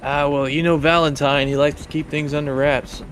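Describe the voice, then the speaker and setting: Man playing a higher-voiced, matter-of-fact helicopter pilot. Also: little pitch movement, normal speed, a bit bright, a little hiss or hum, close to an everyday voice, low-quality recording.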